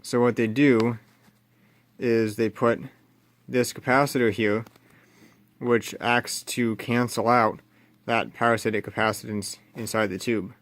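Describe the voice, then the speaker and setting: A man's voice: mid-range voice, steady tone, unhurried. A man giving casual hands-on repair narration, thinking aloud as he works at an indoor bench. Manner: thinking aloud while working. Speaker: radio repair hobbyist presenting. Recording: loud background noise and electrical hum. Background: loud noise, hum.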